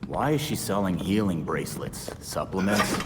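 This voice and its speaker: A man playing a gruff, blunt, sceptical young vigilante in a video game. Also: sits low, expressive, moderate pace, big-room sound, heavy background noise. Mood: sceptical, irritated. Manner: gruff, sardonic. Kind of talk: video game cutscene acting.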